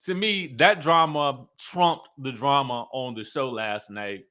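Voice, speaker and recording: A man's voice: mid-range voice, a little slow. A man hosting an online review show, chatting casually and emphatically to his viewers and often checking in with them. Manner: animated, conversational, emphatic, often checking in with listeners. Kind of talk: casual livestream commentary to viewers.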